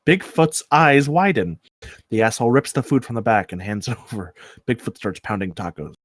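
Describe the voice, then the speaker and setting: A man's voice: mid-range voice, normal speed, no echo, clean studio sound. A man reading out stage directions as narrator at a screenplay table read.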